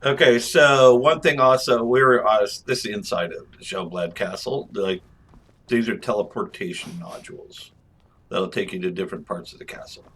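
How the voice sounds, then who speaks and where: A man's voice: lowish voice, moderate pace, fairly bright tone, husky. A man giving casual, jokey, rambling video game review commentary.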